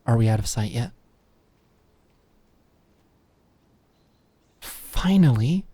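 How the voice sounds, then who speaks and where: A woman's voice: rumbling low, expressive, fast, warm tone, breathy edge, full character voice. A woman playing a cocky, adoring young British businesswoman in a scripted audio roleplay, smug and teasing. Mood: elated, adoring. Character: Alex, cocky, adoring young queer businesswoman celebrating with fiancée.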